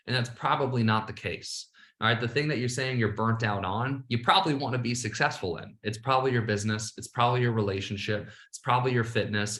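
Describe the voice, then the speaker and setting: A man's voice: medium pitch, fairly bright tone. Emphatic male coach in an echoey room. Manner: emphatic, repeats "all right" often. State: a little sleepy. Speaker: business coach and podcast host. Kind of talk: casual motivational monologue.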